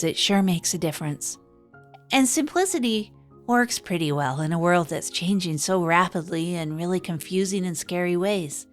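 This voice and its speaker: A woman playing an earnest, reflective small-town radio host, musing haltingly in an improvised comedy audio drama. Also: medium pitch, natural voice.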